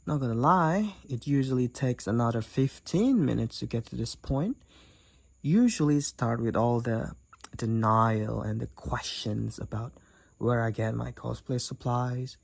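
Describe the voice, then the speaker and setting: A woman's voice: extremely low pitch, expressive, a little slow, darkish tone, exaggerated voice. A woman playing a cocky, teasing demoness college roommate and gamer in a roleplay audio drama, with a wry, theatrical delivery. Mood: amused, sardonic. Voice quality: raspy, creaky. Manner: teasing, wry, theatrical, addresses the listener as Sugar.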